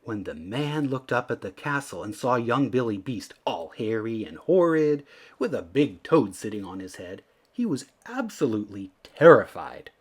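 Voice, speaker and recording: Animated male storybook narrator. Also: mid-range voice, moderate pace, breathy edge. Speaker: children's story reader. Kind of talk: expressive scripted storybook narration.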